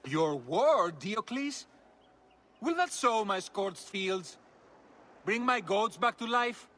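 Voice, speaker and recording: A furious ruined farmer, scornful and sarcastic. His voice is bright and sharp, high and swinging, with slow, pointed delivery. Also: lively intonation, exaggerated voice. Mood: bitter. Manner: sarcastic, bitter.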